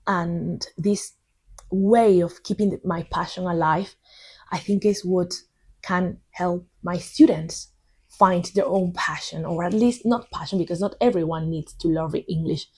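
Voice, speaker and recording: Woman, young adult, native Spanish speaker, husky low voice, thoughtful rambling English teacher. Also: darkish tone. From southern Spain. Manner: thoughtful, rambling, with many fillers. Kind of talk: informal video lesson.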